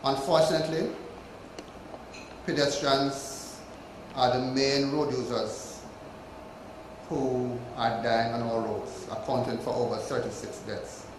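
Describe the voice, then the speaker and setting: A man with a Jamaican accent delivers a formal prepared speech in a measured, emphatic way. His voice bounces around an echoey hotel function room, with faint ambience underneath. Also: medium pitch, fairly even intonation, background noise. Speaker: ceremony speaker.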